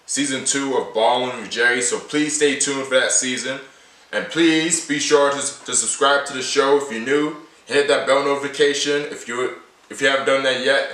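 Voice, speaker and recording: A man's voice: medium pitch, a bit bright, echoey room, recorded from a distance, low-quality recording. A nineteen-year-old man vlogging to camera from a table in a small room, rambling and repeating himself as he shows his everyday carry. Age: late teens. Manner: repetitive, rambling, restates points often. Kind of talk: casual to-camera vlog.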